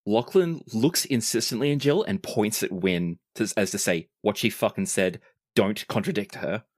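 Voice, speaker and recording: A man narrates character actions in a tabletop roleplaying podcast. His voice is on the low side. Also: moderate pace. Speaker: player on actual-play podcast.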